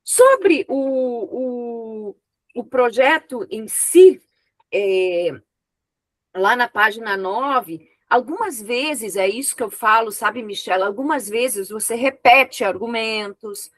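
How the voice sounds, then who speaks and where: A woman whose first language is an unwritten oral language, serving as an examiner and giving frank, thoughtful spoken feedback on a student's thesis over a video call, often pausing on small fillers. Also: a little high, animated, no room sound. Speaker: examiner on a master's thesis committee.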